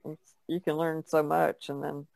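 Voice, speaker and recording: A woman's voice: low voice, little pitch movement, measured pace, creaky, darkish tone, no room sound, poor audio. A woman talking casually as an artist on a podcast. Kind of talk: casual chat.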